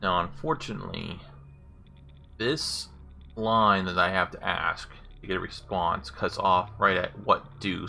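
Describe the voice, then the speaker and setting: A man's voice: deep voice, creaky, sharp tone. A man giving a casual gameplay demonstration, speaking voice commands and commenting on them.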